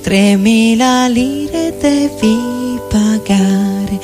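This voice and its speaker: Woman, slightly high voice, drawn-out repetitive recitation, strong guitar backing. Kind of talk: slow experimental theatrical recitation to music. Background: prominent guitar music.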